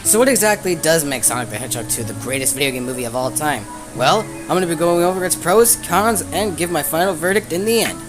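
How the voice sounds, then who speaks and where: A man's voice: fairly high pitch, lively intonation, fast, hardly any pauses, over loud music. A man narrating a fan's video review from a script with casual asides, enthusiastic and stumbling over words now and then. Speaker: film reviewer.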